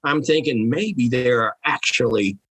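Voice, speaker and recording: A man with a mid-pitched, somewhat husky voice speaks as a podcast guest. He talks conversationally and haltingly, working through his thoughts as he goes. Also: a bit bright. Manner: musing, halting, thinking aloud. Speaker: guest author.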